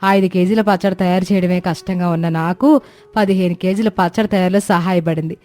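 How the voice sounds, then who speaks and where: A woman's voice: fairly low pitch, steady tone, brisk, darkish tone, close to an everyday voice. A woman voicing a self-sacrificing middle-aged village mother in a cartoon story, with motherly, rustic colloquial speech. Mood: warm, chatty.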